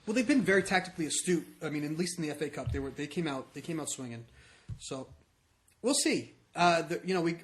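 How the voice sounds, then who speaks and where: Male podcast co-host, slightly high-pitched, conversational soccer chat, circles back over his own phrases. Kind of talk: casual soccer discussion.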